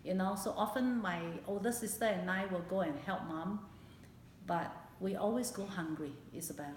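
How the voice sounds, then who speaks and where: A woman in her late fifties with a Singaporean accent recalls her life in a personal interview, speaking haltingly and repeating phrases for emphasis. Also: medium pitch, a little mellow. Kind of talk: personal oral history interview. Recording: echoey room, background hiss.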